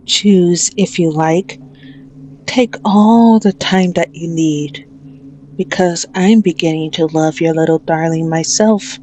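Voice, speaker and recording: A very slow, deliberate female mafia boss, acted out by a woman for an ASMR roleplay, with a slightly low voice. She is possessive and manipulative, taunting her hostage with mock tenderness and open menace. Faint noise sits behind the voice. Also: darkish tone. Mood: cruel, gloating.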